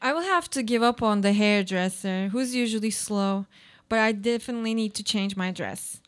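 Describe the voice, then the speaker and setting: A woman's voice: medium pitch, moderate pace, lightly acted. A woman acting in a live radio play as a weary, haunted woman, delivering a reflective, theatrical monologue. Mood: musing, resigned. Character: weary, haunted woman brooding on hope and existence.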